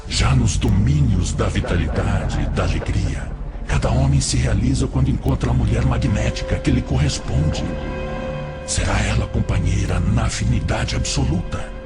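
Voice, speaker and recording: A man's voice: on the low side, normal speed, gravelly. A man playing a sly, seductive predatory spirit who persuades with insinuating rhetoric in an audio drama. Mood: seductive, persuasive. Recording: loud music under the voice.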